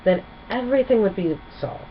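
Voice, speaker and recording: A woman narrating a children's story in an expressive storytelling style. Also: medium pitch, fairly quick. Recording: dull sound with faint background noise.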